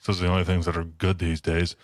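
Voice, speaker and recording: A man's voice: deep voice, monotone, fast, no echo, clean studio sound. A man chatting casually as a podcast co-host in a blunt, matter-of-fact way. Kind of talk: casual chat.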